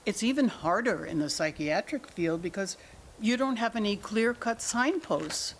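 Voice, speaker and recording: A woman's voice: mid-range voice, animated, moderate pace, close to an everyday voice. A woman playing an evasive, argumentative older mother in denial about her son, in a staged drama reading. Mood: explaining. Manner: deflecting, argumentative, talks in analogies. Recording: noisy room ambience.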